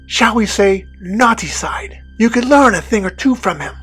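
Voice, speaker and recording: A woman's voice: mid-range voice, expressive, moderate pace, fairly bright tone, husky, soft background music. A woman playing an imperious, condescending Prince of Darkness in a scripted comedy podcast skit. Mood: stern, condescending. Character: haughty, scornful Prince of Darkness, demanding master.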